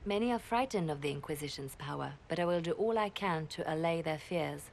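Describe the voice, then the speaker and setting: Poised female religious leader, formal and reassuring, lower pitch; for a game. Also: brisk, creaky, darkish tone, a little hiss or hum. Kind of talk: video game cutscene acting.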